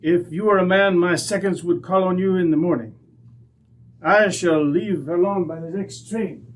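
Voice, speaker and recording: Man acting a high-voiced, haughty young aristocrat. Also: moderate pace, natural voice, poor audio. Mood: indignant. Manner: formal, indignant. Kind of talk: old-time radio drama acting.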